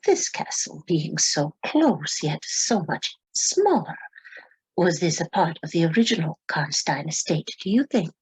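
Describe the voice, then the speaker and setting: An actress playing a curious, probing woman with halting, inquisitive speech in a radio drama. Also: sits low, very animated, a little mellow, slightly rough, exaggerated voice, poor audio.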